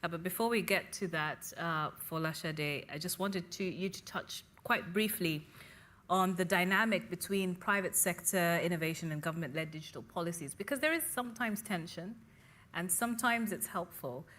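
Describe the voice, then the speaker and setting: Low-voiced woman moderating a panel. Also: normal speed, fairly bright tone. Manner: polite, reads out audience questions, hands over to panelists.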